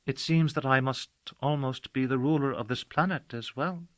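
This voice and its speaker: Guy doing a calm little turtle girl, high and clear, gentle and proper, crisp bright sound. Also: normal speed, dry recording, natural voice. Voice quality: clear, warm, high, girlish. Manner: serene, gentle, formal. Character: calm poised turtle-girl housekeeper, childlike.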